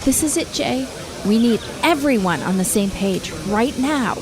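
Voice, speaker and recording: A woman's voice: on the high side, animated, measured pace, crowd noise. A woman acting in a radio drama as a guarded but passionate activist, speaking earnestly and insistently. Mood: urgent, hesitant.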